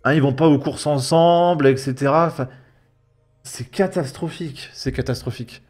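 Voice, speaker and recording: A man's voice: fairly high pitch, moderate pace, darkish tone. A young man giving casual, mocking reaction commentary in a slangy, sarcastic, exasperated way. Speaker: YouTube commentator. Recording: music behind the voice. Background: background music.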